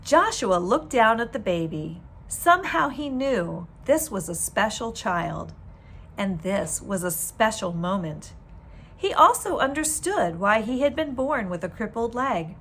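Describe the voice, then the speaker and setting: A woman reading a children's storybook aloud in an expressive, deliberate storytelling manner. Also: mid-range voice, a little hiss or hum. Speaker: teacher reading a book aloud.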